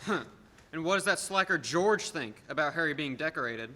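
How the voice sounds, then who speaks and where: A sneering rich old miser from a radio drama, played by a man. The voice is high and sharp, cold in manner, over faint noise. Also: expressive, moderate pace. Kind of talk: old-time radio play acting.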